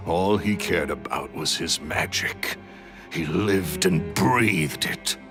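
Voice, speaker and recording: An actor playing a bitter, vain, greedy magician thief in a video game, theatrical and sneering. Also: male voice, on the low side, gravelly, full character voice.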